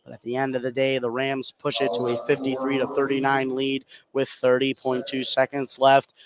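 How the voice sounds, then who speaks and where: A man doing live play-by-play basketball commentary for radio from a high school gym. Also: noisy background. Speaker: play-by-play sports announcer.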